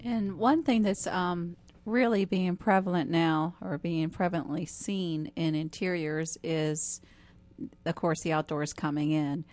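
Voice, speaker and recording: Woman, lowish pitch, conversational explaining, design studio guest. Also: fairly even intonation, unhurried, a little mellow.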